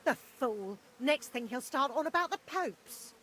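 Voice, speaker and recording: A woman's voice: sits high, expressive, unhurried, a bit bright, slight background noise. A woman playing a weary, curt medieval village wife in a video game. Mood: exasperated.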